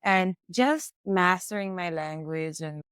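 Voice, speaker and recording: A woman with an Italian accent, a language teacher and native Italian speaker, chatting expressively as a podcast guest and explaining with lively examples. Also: on the low side, slow, smooth, no echo, studio quality. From Italy. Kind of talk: casual podcast conversation.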